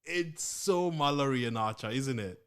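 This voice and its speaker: A man's voice: medium pitch, clear, resonant tone. A man with a Kenyan accent co-hosting a podcast, laughing often in easy chat. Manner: slow, laughs often, lively intonation. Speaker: podcast co-host. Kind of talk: casual chat.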